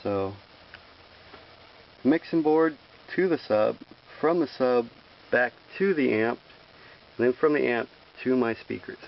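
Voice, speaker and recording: Guy doing a casual how-to, gravelly voice, step by step, kinda muffled camera sound w some hiss. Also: mid-range voice, slow pace, pauses often. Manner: plain-spoken, explains step by step. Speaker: presenter of a hands-on how-to video. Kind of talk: informal hands-on tutorial. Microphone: handheld camera microphone. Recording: dull lo-fi handheld camera audio with hiss.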